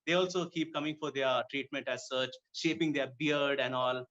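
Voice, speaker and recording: A man's voice: medium pitch, steady tone, moderate pace, a bit bright. A man from Kuwait speaking conversationally as a dermatologist guest in an interview. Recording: dull lo-fi audio.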